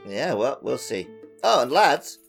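A man's voice: mid-range voice, big pitch swings, measured pace, creaky, music in the background. A man hosting a podcast in a casual, chatty way. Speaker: podcast host.

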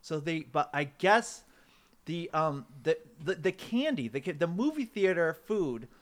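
A man co-hosting a podcast in casual comedic chat, with an excitable, rambling, playful way of talking. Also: on the high side, a little slow. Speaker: podcast co-host.

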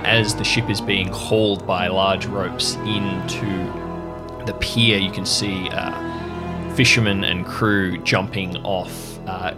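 A man narrating as game master of a tabletop game, improvising descriptive scenes with hesitant pauses. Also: low voice.

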